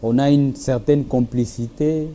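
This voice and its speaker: A young man with a Congolese French accent and Swahili as his first language answers a TV interviewer. His voice is mellow and dark at a middle pitch, his speech halting with fillers. Faint noise runs underneath. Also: fairly even intonation. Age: young adult. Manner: hesitant, many fillers. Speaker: musician guest. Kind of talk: television interview.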